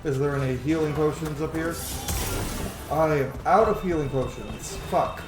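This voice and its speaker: A man's voice: on the high side, normal speed, echoey room. A man giving casual, informal let's-play commentary, talking to the game as he plays and swearing freely. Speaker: video game streamer, player. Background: game music.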